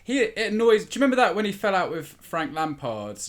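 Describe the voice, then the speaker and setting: A man with a slightly higher than average voice talks football as a podcast guest. He offers his opinions in an informal, conversational style. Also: big pitch swings, fast talker. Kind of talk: casual football chat.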